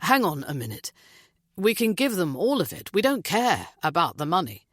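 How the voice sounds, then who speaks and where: A woman's voice: on the low side, sing-song, moderate pace, dry recording, clean studio sound. A woman voicing a worried, insistent father in an audiobook. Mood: urgent. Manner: urgent, pressing.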